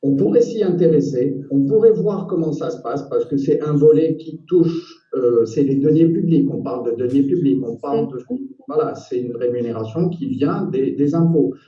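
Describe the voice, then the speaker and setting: A man debates as an election candidate, with a very mellow, dark timbre at a medium pitch. He is measured, pausing and repeating himself. He sounds distant in an echoey room, on dull audio. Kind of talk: political debate, spontaneous argument.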